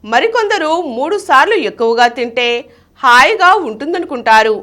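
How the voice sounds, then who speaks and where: A woman reads the news from a script. Her voice sits very high and has a bright, forceful edge. The intonation is animated, moving widely up and down as she narrates the bulletin. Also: normal speed. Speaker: news reader.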